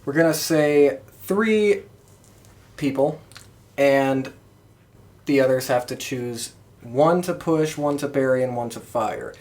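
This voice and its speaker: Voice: medium pitch, slow, long pauses, darkish tone. A podcast co-host talking casually and informally with friends.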